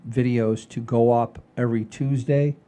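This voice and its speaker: A man hosting a comedy show in casual chat, halting and repeating phrases for emphasis. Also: medium pitch, fairly even intonation, dark tone.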